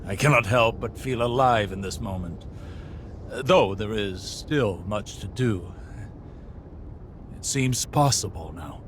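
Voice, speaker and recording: A man's voice: fairly low pitch, slow, pauses often, background noise. A man playing a weary, resolute old warlock sage in a video game, with grave, formal delivery. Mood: quietly exhilarated.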